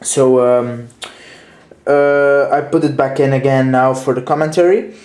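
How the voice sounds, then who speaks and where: A man's voice: mid-range voice, fairly even intonation, measured pace, darkish tone, reverberant. A young man with a Dutch accent casually reviewing a toy train, hesitant and full of fillers and restarts. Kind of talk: casual show-and-tell review.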